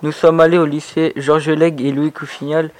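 A male student reads a prepared introduction aloud. His delivery is flat and monotone. His voice sits slightly high. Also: moderate pace, rough recording. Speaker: student presenter.

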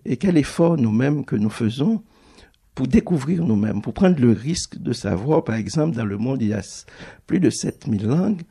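A man's voice: medium pitch, lively intonation, normal speed, gravelly, mellow, breathy edge. A man whose first language is Creole, a book publisher giving a thoughtful public talk, often stopping to restart his phrases.

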